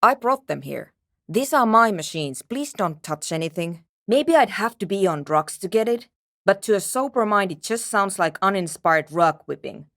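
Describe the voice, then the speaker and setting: A woman's voice: medium pitch, lively intonation, moderate pace, a bit bright, no room sound. An actress playing a curt, skeptical, world-weary woman programmer in a video game, speaking in a brusque, dry, dismissive way. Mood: dry, guarded. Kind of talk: video game character dialogue.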